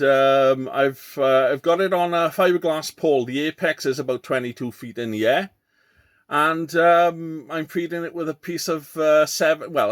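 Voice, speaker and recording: A man speaks in a slightly high register. He chats casually as a radio operator, pausing and doubling back on his phrases as he goes. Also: no echo, clean studio sound. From Wales. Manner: hesitant, repeats himself. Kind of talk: casual radio contact chat.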